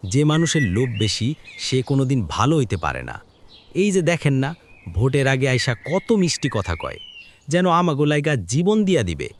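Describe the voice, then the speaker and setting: This is a man playing a frank village man in a cartoon drama. He moralizes with emphasis in rural Bangladeshi Bengali, his mid-pitched voice moving up and down freely. There is slight noise behind him. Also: big pitch swings, moderate pace, lightly acted. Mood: cynical, earnest. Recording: faint background noise with clicks.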